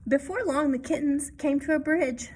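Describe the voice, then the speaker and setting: A woman reading a children's picture book aloud expressively, pausing to add explanations and check-in questions for young listeners. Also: high-pitched, darkish tone, a little hiss or hum. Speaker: read-aloud storyteller.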